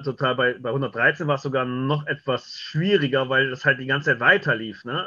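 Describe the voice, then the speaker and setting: A man chatting casually as an interview guest, often halting as he searches for words. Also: on the high side, pushed and bright. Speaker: radio play director and interview guest. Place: home, video call. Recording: slightly dull video call audio.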